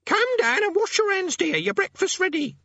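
A man voicing a shrill, bossy mother calling loudly to her child in a storybook reading. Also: sing-song, normal speed, no room sound, dull audio, full character voice. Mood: calling, brisk. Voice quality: shrill, loud.

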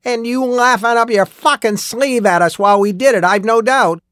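A man voicing a furious, foul-mouthed old miner in an audiobook, shouting emphatic accusations. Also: very high voice, moderate pace, no room sound, studio quality, voice pushed up. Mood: bitter, furious. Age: older. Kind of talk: audiobook character acting.

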